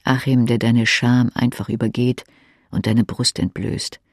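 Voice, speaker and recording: A man reading intimate second-person literary narration as an audiobook narrator, with a husky, creaky voice. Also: mid-range voice, little pitch movement, a little slow, no room sound, clean studio sound.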